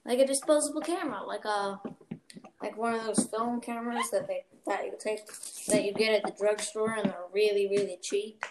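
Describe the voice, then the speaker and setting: A woman's voice: mid-range voice, steady tone, moderate pace, background noise. A young woman hosting a casual chat podcast, talking chattily with lots of fillers and giggles. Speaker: podcast host.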